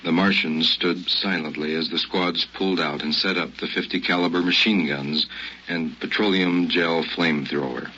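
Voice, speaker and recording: An actor playing a solemn witness narrating a tragic radio drama in a grave, measured manner. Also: male voice, low-pitched, fairly even intonation, slight background noise. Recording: dull radio recording under loud music.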